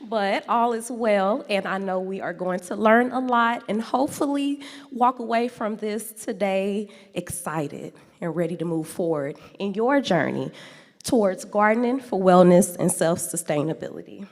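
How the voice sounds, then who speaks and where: A woman from St. Louis in her forties, giving a loud, playful talk that draws in the audience. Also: medium pitch, unhurried. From St. Louis, USA. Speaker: guest speaker. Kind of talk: lively public talk with audience games. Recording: echoey hall sound.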